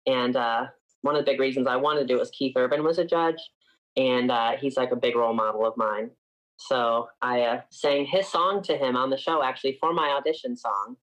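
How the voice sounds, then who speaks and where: A man's voice: medium pitch, steady tone, brisk, a bit bright, low-quality recording. A man in his twenties from upstate New York, a singer chatting casually as an interview guest and filling his talk with frequent "like"s.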